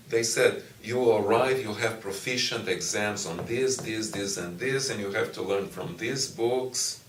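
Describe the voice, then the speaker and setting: A middle-aged man from Brazil whose first language is Portuguese, a university professor answering interview questions in a slow, thoughtful way with frequent pauses and restarts. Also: lowish voice, fairly bright tone, slight background noise. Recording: echoey room, distant mic, faint ambience.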